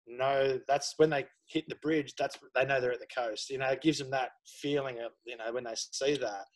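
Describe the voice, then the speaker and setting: A man's voice: mid-range voice, steady tone, normal speed, low-quality recording. A man, chatting casually as a podcast guest, rambling with lots of fillers. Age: 30s. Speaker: guest photographer.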